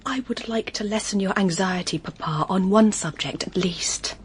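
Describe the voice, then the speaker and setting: An actress playing an earnest, determined young English governess in a period audio drama, with an English accent. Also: female voice, medium pitch, moderate pace, a little hiss or hum, close to an everyday voice. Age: young adult.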